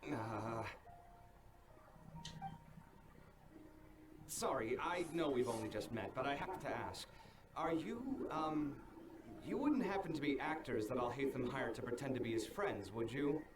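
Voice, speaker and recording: Guy doing dramatic flustered young anime game scholar, very animated, kinda echoey. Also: mid-range voice, moderate pace, distant mic, crowd noise, natural voice. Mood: anxious. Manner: theatrical, fretful.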